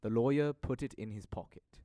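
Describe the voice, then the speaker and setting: A man's voice: mid-range voice, normal speed, mellow, dry recording, studio quality. A young man giving scripted narration for an audio drama. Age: young adult.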